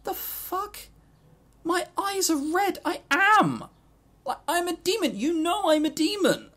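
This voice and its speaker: An exasperated demon from a visual novel , played by a man, with the voice pushed up high and shrill. The delivery is incredulous and fed up. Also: animated, takes it slowly, pushed and bright, heavily acted.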